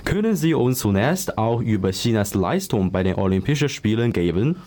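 A man's voice: on the low side, very animated, normal speed, warm tone. A young man hosting a student interview, asking polite, scripted questions. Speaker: student host. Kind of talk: moderating a school interview.

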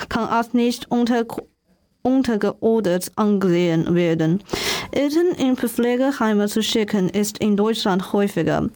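A woman from Shanghai with a Chinese accent, a guest on a student radio show, halting and restarting words as she reads. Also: medium pitch, dark tone. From Shanghai, China. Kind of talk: student radio show, reading prepared answers.

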